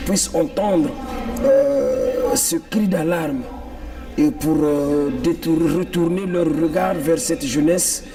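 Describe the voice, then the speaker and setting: A man's voice: a little high, fairly even intonation, takes it slowly, mellow. A man from the Congo, speaking earnestly as an activist guest in a TV interview and repeating points for emphasis. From Republic of the Congo. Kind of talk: political TV interview. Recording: distant mic with music behind. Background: clear background music.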